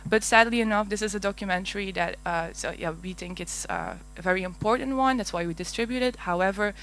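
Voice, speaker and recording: A woman's voice: medium pitch, very animated, quick pace, fairly bright tone. A woman from Belgium with a Flemish Dutch accent, giving a conference talk in a large hall, hesitant with many fillers and little laughs. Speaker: conference speaker. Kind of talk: conference presentation. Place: large darkened auditorium.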